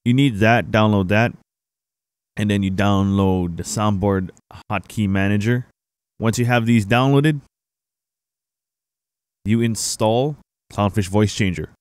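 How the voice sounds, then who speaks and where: A young man hosting a casual software tutorial, explaining things informally to viewers on a close microphone. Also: on the low side, takes it slowly, long pauses, smooth, no echo. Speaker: tutorial host, gaming streamer. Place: indoor home streaming setup. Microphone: close boom-arm microphone.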